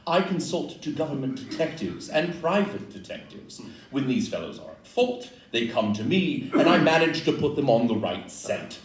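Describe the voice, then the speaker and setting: A man acting a precise, self-assured Victorian gentleman detective with dry, formal wording in a live audio play. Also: medium pitch, big pitch swings, moderate pace, natural voice. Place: live performance in a club room. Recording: distant roomy live recording, faint noise.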